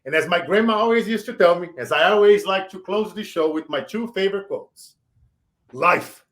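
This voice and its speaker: A man in his forties with a Brazilian accent, hosting a show and giving emphatic motivational shout-outs. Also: high voice. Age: 40s. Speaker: show host. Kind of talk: motivational interview wrap-up.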